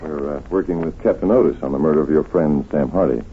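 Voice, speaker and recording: An actor playing a shrewd, courteous investigator in an old radio mystery, probing with measured confidence. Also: male voice, a bass voice, a little hiss or hum. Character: shrewd, polite, self-assured male investigator doctor. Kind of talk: 1940s radio drama acting. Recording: tinny, husky-sounding old radio recording.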